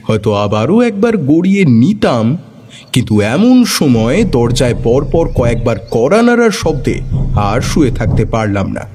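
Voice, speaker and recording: Man narrating suspense story, mellow tone, lively pitch. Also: measured pace, slight background noise. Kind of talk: dramatic narration of a detective story.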